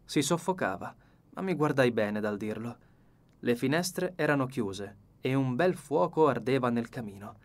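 A man's voice: mid-range voice, expressive, normal speed. A man reading a novel as an audiobook narrator in scripted literary narration.

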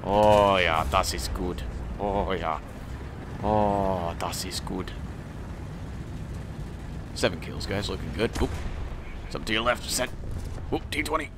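A man with a hoarse, failing voice giving playful, excited casual commentary on a game, with mock-military banter. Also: low voice, slow pace, long pauses. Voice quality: hoarse, strained voice. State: losing his voice. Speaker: gaming video creator. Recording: noisy, game gunfire and engines behind. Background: tank game gunfire and engine noise.

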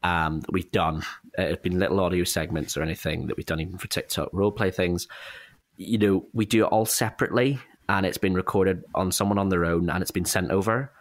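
Man, lowish voice, chatty, self-deprecating, casual discussion host, dry close sound. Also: fairly even intonation, brisk, no room sound. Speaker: director and actor hosting a cast discussion. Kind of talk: casual behind-the-scenes chat.

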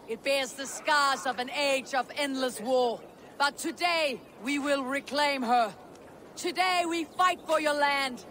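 A man's voice: very high voice, bright, forceful tone, slight background noise, heavily acted. A man playing a resolute young Viking warrior leader in a video game, earnest and commanding. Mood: rousing. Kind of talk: dramatic video game cutscene acting.

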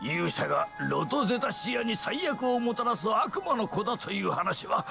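A man's voice: sits high, sing-song, normal speed, vocal fry, full character voice. An actor voicing an anxious, deferential middle-aged king in a fantasy game, formal and earnest. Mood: distraught, apologetic. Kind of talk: Japanese fantasy game voice acting. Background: game music.

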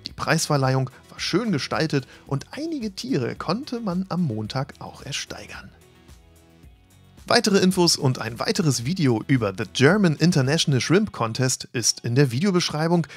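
Husky man narrating over light music. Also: fairly high pitch, very animated, moderate pace. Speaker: channel host narrating event report. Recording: faint music bed behind voice.

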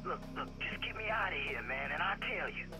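Scared mouthy young snitch in a game, high voice, slangy, music under it. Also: male voice, expressive, a little fast, creaky. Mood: panicked. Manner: pleading, slangy, cursing. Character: scared, mouthy young male street informant. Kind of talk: video game acting. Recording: music behind the voice.

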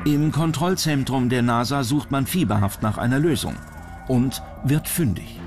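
A man's voice: mid-range voice, animated, normal speed. Male documentary narrator over music.

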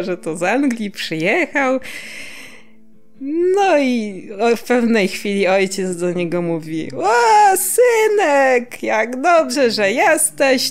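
A woman from Poland co-hosting a podcast in casual chat, often searching for words and chuckling. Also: on the high side. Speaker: podcast co-host.

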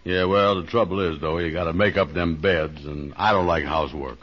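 A lazy, slow-witted man in a vintage radio comedy. His voice sits very low, with a folksy, ungrammatical drawl. The old broadcast sounds dull and lo-fi. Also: steady tone. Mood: musing, lazy. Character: Andy, easygoing, lazy, slow-witted man with big notions. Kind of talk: 1940s radio comedy acting.

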